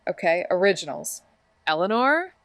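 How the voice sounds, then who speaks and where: An actress playing a wry, sarcastic amateur detective in a noir audio drama. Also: female voice, lowish voice, big pitch swings, normal speed, no room sound. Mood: wry banter. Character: wry amateur girl detective, narrating her father's case.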